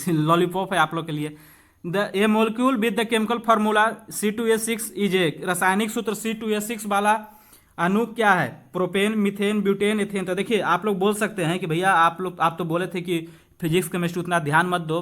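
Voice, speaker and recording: Male exam-prep tutor, high-pitched voice, conversational question-and-answer delivery aimed straight at students. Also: fairly bright tone. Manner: conversational, asks and answers own questions, addresses students directly. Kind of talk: informal lesson, reading questions aloud and explaining.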